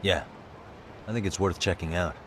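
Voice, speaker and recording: A man playing a cool, polite investigator in a video game, speaking in a measured, questioning way. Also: deep voice, steady tone, background noise, close to an everyday voice. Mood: curious, composed. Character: cool, polite male investigator, composed and probing. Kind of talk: video game cutscene acting.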